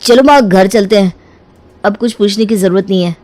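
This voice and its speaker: A woman's voice: mid-range voice, moderate pace, a little mellow, no echo, lightly acted. A woman voicing an exasperated, protective grown son in a cartoon moral story, earnest and insistent. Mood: firm. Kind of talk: animated Hindi moral story dialogue.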